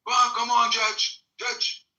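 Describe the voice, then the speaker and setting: Emphatic man, bright tense voice. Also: extremely high pitch, completely flat delivery, slow pace, highs cut off. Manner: broken English with many fillers, emphatic. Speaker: storyteller recounting family memory. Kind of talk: emotional personal storytelling.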